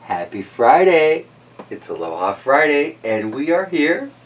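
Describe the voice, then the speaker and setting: Animated man hosting children's storytime. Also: on the high side, measured pace, distant mic. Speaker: host of a children's story-reading show. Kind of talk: casual chat and animated storybook reading.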